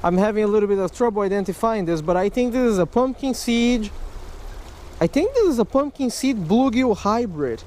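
A man's voice: high voice, animated, a little fast, darkish tone, a little hiss or hum. A man in his thirties talking to camera beside a creek while fishing, exclaiming over each catch and chatting to his viewers. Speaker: fishing vlogger. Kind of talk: casual vlog narration to camera. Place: outdoors by a wooded creek. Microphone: camera mic, handheld or chest-mounted.

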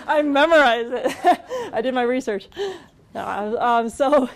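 A woman introduces the next speaker in a chatty, filler-heavy way. Her voice sits a bit high. She speaks into a handheld microphone in a store's event room before a small audience. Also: expressive, slow. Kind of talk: introduction at a public talk. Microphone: handheld mic.

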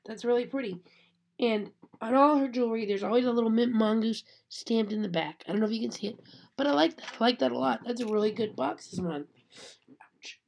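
A woman's voice: medium pitch, darkish tone, slight background noise. A woman, sick with a cold and sounding nasal, chatting casually to a webcam in a small room. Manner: chatty, apologetic. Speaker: unboxing vlogger. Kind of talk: casual chat to camera. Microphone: webcam at desk.

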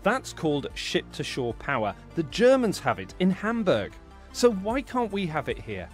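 A man narrating a news report as the reporter, inquisitive and lively. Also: fairly high pitch, normal speed, soft background music.

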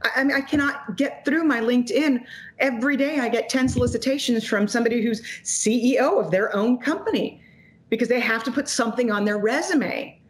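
Woman in her 40s, economist guest, emphatic, fairly high voice, webcam sound. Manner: emphatic, quick, gesturing while talking. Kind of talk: animated interview commentary. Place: home office in front of a logo backdrop. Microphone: video call webcam.

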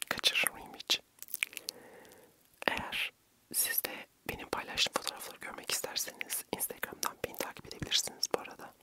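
A young man whispering gently and soothingly in a breathy voice, narrating an ASMR vlog close to the mic. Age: young adult. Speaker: ASMR vlogger.

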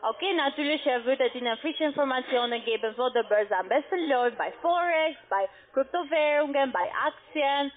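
High-voiced woman on a tinny phone line. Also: animated. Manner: halting, insistent, step-by-step instructing. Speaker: call centre agent for an online trading platform. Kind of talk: scripted-sounding phone sales instruction. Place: office, on a phone call. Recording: muffled narrow phone line.

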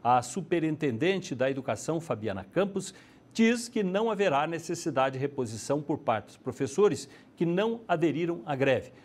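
A man's voice: on the high side, animated, normal speed, darkish tone. A middle-aged man with a Brazilian accent presenting television news in a studio on a lapel mic. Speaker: news anchor.

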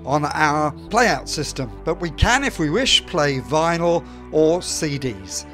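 A man in his sixties hosts a local radio morning show in a small studio. His voice sits a little high for a man, and his delivery is clear and chatty with light jokes. Soft music plays faintly underneath. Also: animated, takes it slowly. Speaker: radio presenter. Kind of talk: radio show chat and behind-the-scenes tour. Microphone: studio microphone, headphones on.

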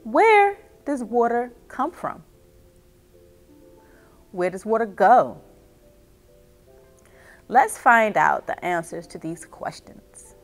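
A middle-aged woman reading and explaining to children as a story time host, expressive and speaking directly to her young listeners. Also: fairly high pitch, slow pace, long pauses, soft background music. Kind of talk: children's read-aloud and instruction. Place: small indoor reading nook with bookshelves.

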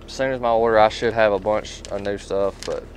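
A man's voice: fairly low pitch, brisk. A man talking casually and folksily as a tool dealer showing products. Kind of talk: casual product talk and storytelling. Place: inside a tool truck. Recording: steady background noise.

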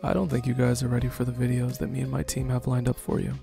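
A man's voice: medium pitch, monotone, fast, gravelly. A man casually addressing his viewers as an online creator, friendly and thankful, signing off a video. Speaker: online audio roleplay creator. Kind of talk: casual channel outro. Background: music.

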